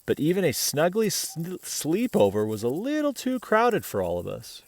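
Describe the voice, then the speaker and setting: Expressive man reading a children's picture book. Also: fairly high pitch. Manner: expressive storyteller, pausing to comment and question the listener. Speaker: dad reading children's books aloud. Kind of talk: children's picture book read-aloud with casual asides.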